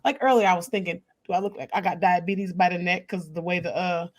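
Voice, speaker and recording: A woman's voice: lowish voice, fast. A woman co-hosting a casual podcast chat, talking openly and expressively and laughing easily. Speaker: podcast co-host.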